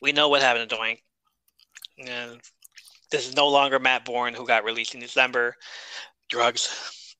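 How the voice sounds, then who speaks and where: Bright, crisp-voiced male podcast host. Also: medium pitch, level tone, measured pace, pauses often, dry recording, low-quality recording. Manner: chatty, reads notes, jokes and laughs. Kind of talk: casual wrestling review chat.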